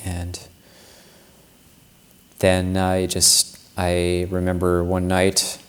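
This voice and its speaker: A man, a Buddhist monk, giving a Dharma talk, telling a personal story in a reflective, unhurried way with frequent restarts. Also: sits low, monotone, clear, resonant tone.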